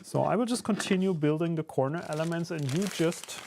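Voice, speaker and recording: A man's voice: fairly high pitch, fairly quick, gravelly, noisy background. A German man, a native German speaker talking in English with a German accent, chatting casually on a live stream while he builds and answers his chat. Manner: relaxed and conversational, many fillers, answers chat. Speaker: streamer and YouTuber building a 3D printed enclosure.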